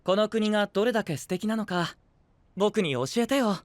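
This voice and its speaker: A man playing a gentle, composed, boyish young knight with a friendly, polite manner in an anime-style voice drama. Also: sits high, moderate pace, no room sound, natural voice. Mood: breezy, friendly.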